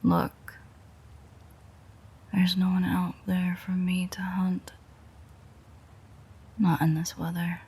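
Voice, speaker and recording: A woman playing a sardonic, teasing, world-weary female vampire in an intimate audio roleplay, with a breathy, husky, whispery voice and a dry manner. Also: lowish voice, warm tone, exaggerated voice. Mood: irritated, dismissive.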